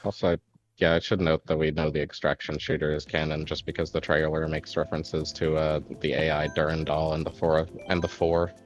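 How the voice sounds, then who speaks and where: A man streaming a kart racing game, chatting casually, rambling about game lore and swearing when excited. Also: rumbling low, brisk, no room sound, highs cut off, faint music behind. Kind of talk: casual gaming chat.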